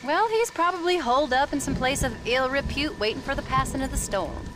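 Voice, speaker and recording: A woman as a sharp-tongued frontier mother. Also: sits high, a little fast, music in the background, natural voice, low-quality recording. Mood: dry. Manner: sarcastic. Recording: game cutscene with noise behind.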